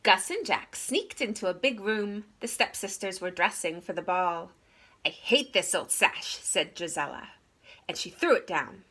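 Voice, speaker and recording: A woman narrating a children's story aloud in an expressive, theatrical way. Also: on the low side, normal speed. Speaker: storybook reader.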